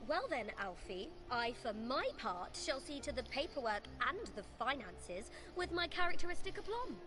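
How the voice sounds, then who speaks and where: An actress playing a chirpy, self-assured little bookkeeper in a fantasy game, playfully pompous. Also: female voice, high voice, big pitch swings, moderate pace, music in the background. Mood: cheerfully smug.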